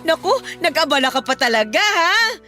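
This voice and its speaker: A woman's voice: high-pitched, sing-song, moderate pace, pushed and bright, heavily acted. An actress playing a middle-aged, meddling mother with a bossy, breezy manner in a Filipino radio drama. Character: meddling, brisk mother on her birthday. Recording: music bed under the voice.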